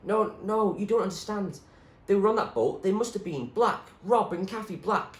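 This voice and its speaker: A man's voice: high voice, moderate pace, voice pushed up, lightly acted. A man voicing an anxious, stubborn young girl who pleads urgently as she searches for her parents, in a storybook reading. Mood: desperate. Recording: echoey room with clear background noise.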